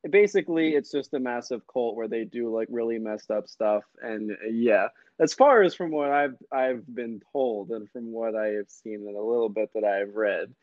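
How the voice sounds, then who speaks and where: A relaxed man talking casually in loose, slangy phrasing. His throat sounds a bit phlegmy, and the audio is dull and dry with no room sound. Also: medium pitch, normal speed, hardly any pauses, dry recording. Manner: laid-back, loose slangy talk. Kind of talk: casual chat.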